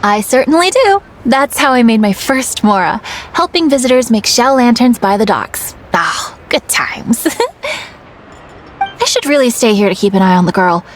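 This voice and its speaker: High-voiced, caring festival craftswoman. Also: sing-song, a little slow, slight background noise. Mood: nostalgic, breezy. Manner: breezy, motherly toward children. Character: Wangya, friendly caring young female festival craft worker. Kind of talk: video game acting.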